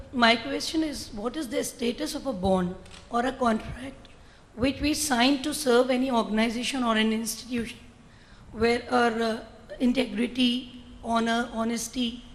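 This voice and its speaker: A woman's voice: fairly high pitch, measured pace. A woman from the audience asking a formal, polite question. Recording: reverberant hall.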